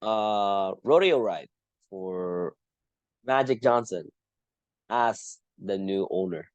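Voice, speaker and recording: A man talks through the sports news on his own show, earnest and halting, often circling back to restart a phrase. His voice sits on the low side. The sound is dry, with no room echo. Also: lively intonation, smooth. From Philippines. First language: Tagalog. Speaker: podcast host. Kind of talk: solo sports commentary.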